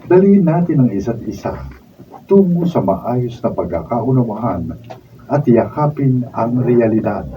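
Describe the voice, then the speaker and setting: Slow, dramatic Filipino male announcer, distant mic. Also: medium pitch. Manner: dramatic, deliberate. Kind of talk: scripted radio narration and cast credits. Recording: muffled distant mic, faint noise.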